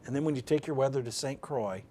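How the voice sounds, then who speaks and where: A man's voice: mid-range voice, quick pace, warm tone, slight background noise. An American man giving an informal live demonstration, explaining off the cuff in a folksy, conversational way and thinking aloud as he goes. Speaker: presenter of a weather radio programming demonstration.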